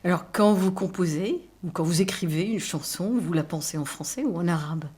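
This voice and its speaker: Woman radio host, lowish voice. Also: fairly quick, darkish tone, slightly rough. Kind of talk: radio interview questions.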